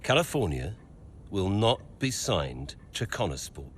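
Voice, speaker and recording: A man's voice: low-pitched, sing-song, a little slow. A man playing a cold, imperious middle-aged businessman in a video game drama, clipped and commanding, with faint noise behind. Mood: stern, scornful. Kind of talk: acted video game cutscene dialogue.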